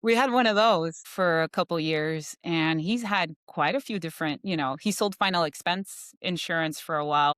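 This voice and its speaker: A chatty woman guest with a smooth, clear voice, recorded close and dry with no room sound. She talks easily and loosely, peppering her sentences with filler words. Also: fairly low pitch, normal speed, few pauses, a bit bright, dry recording. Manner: chatty, many "you know" fillers. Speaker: guest, insurance professional. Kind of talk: casual interview chat.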